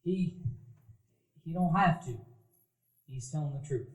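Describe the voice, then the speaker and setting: An actor playing a rough, halting, plain-spoken man caught stealing, in a live stage radio play. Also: fairly high pitch, natural voice. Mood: ashamed. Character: shamefaced rough-spoken grown man, a thief. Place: dinner theater stage before a live audience. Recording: echoey live stage recording, off-mic.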